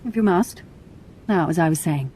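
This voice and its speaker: A woman's voice: on the low side, lively intonation, normal speed, mellow, a little hiss or hum, natural voice. A woman playing a gushing, snobbish party gossip in a video game, with an affected manner. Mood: scandalized, gushing.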